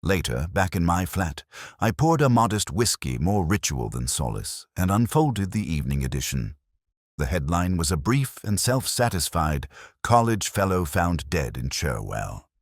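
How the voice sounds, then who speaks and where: A man playing a melancholy middle-aged English police detective, narrating in a measured, literary and wistful way as if from a notebook. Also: deep voice, big pitch swings, no echo, studio quality, heavily acted. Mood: wry melancholy. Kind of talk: scripted first-person dramatic narration.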